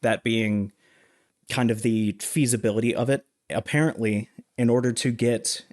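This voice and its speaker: A man co-hosting a casual film discussion, explaining things in a halting, measured way. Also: medium pitch, steady tone, darkish tone. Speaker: podcast co-host.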